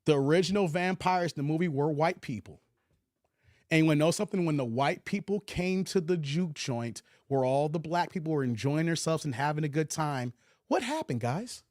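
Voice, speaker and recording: A man's voice: on the high side, animated, normal speed, darkish tone, no room sound, studio quality. An American man giving opinionated livestream commentary, emphatic, repeating his points and posing rhetorical questions. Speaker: commentator hosting his own channel.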